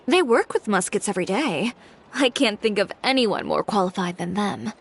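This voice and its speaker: A woman's voice: mid-range voice, big pitch swings, normal speed, vocal fry, slight background noise. A woman voicing a composed, matter-of-fact female designer and businesswoman in a video game. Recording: faint background music.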